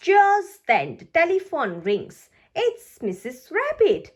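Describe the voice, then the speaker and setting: A woman reading a children's storybook aloud in an expressive, deliberate way for young listeners. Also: very high voice, a little hiss or hum. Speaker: storybook reader.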